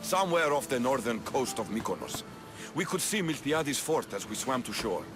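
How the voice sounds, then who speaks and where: An actor playing a proud, earnest Spartan military leader in a video game, speaking tersely. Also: male voice, medium pitch, big pitch swings, moderate pace, over music, close to an everyday voice. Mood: serious. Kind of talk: video game cutscene acting.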